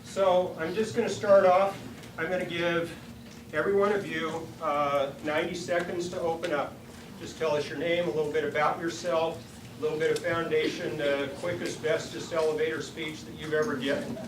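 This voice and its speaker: A woman with a deep voice, chatting informally in a folksy way as she moderates a public forum. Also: steady tone, normal speed. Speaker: forum moderator and party chair. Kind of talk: informal public opening remarks. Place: meeting room at a party headquarters. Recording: echoey room, distant mic, clear noise.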